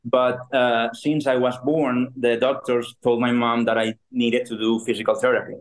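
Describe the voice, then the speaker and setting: A man in his thirties from Ecuador, a guest on a podcast who speaks with frequent pauses and restarts. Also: medium pitch, flat delivery, poor audio. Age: 30s. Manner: halting, with fillers and restarts. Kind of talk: casual interview.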